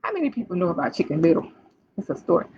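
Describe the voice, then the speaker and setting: A woman's voice: on the low side, very fast, husky. A woman hosting a children's storytime, reading aloud in a playful way and putting questions straight to young listeners. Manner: talks directly to child listeners, asking questions; animated, playful. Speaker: library storytime host. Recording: dull lo-fi livestream audio.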